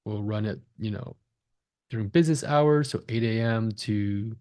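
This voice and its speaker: A man's voice: lowish voice, moderate pace, a little mellow. Man walking through an online tutorial. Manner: conversational, frequent check-in tags like "right?" and "okay?". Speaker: online marketing instructor, agency founder. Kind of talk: screen-share tutorial, explaining step by step.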